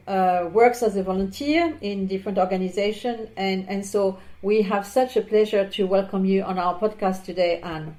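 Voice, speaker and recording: A woman's voice: medium pitch, mellow, rough recording. A woman hosting a podcast who introduces her guest and stumbles over her questions, with many restarts. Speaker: podcast host. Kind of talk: podcast interview.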